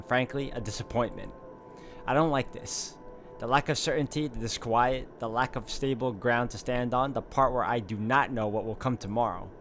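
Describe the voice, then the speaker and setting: A man hosting a let's-play, reading visual novel narration aloud and adding casual commentary. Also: medium pitch. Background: loud music.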